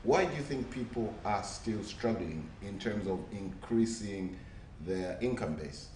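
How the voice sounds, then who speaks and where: Male interviewer, low voice, roomy far-off sound. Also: expressive, a little slow, a little mellow. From Zambia. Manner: rambling, filler-heavy questions. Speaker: TV show host. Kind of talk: interview questions. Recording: roomy, distant mic, faint noise.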